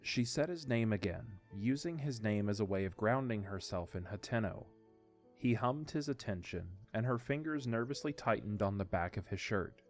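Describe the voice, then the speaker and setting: Low-voiced man narrating a story. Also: expressive, normal speed. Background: faint music.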